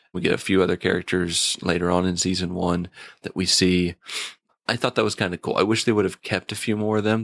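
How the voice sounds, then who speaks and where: A man giving a casual episode review in a thoughtful, slightly halting manner, often repeating a word as he goes. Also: low voice, gravelly, a bit bright, dry recording.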